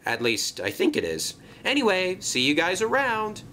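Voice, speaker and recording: Man voicing an excitable, high-pitched female train engine. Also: normal speed, a little hiss or hum, heavily acted. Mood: chatty. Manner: gushing, flustered, chatty. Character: excitable, chatty, naive female steam shovel engine. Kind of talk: children's train story character acting.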